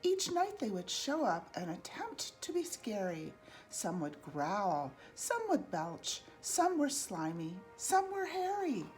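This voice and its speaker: A woman reading a rhyming children's picture book aloud in an expressive, singsong, deliberate way. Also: medium pitch, soft background music. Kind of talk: read-aloud children's storytelling in rhyme.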